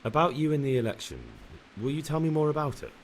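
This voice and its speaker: An actor playing a polite, inquisitive young novice in a medieval video game. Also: male voice, a little high, fast, a little hiss or hum, close to an everyday voice. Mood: polite, curious. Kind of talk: video game dialogue acting.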